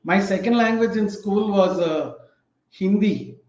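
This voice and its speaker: A man's voice: sits high, darkish tone. Indian English man, 50s, reflective host, lightly raised voice, lo-fi room echo. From Tamil Nadu, India. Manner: reflective, unhurried storytelling with fillers. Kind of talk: casual book talk. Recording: echoey, dull lo-fi room sound.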